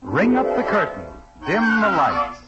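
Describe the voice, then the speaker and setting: Male vintage show announcer, animated delivery, dull recording, prominent music. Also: high voice, unhurried. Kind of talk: 1950s radio sign-off and introduction. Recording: dull old radio recording. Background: loud orchestra music.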